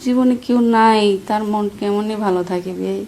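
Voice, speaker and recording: A woman's voice: mid-range voice, fairly quick, darkish tone, a little hiss or hum. A woman with a Bangladeshi accent chatting flirtatiously in a coy, teasing way on a recorded phone call. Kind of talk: flirtatious casual phone chat.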